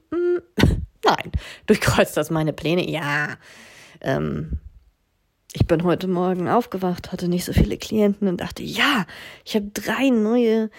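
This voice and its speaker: Woman, 30s, podcast host with a cold: fry-laden, hoarse, reflective, phone recording. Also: on the low side, a little mellow. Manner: thoughtful, at times breathy and whispery. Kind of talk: casual reflective podcast monologue.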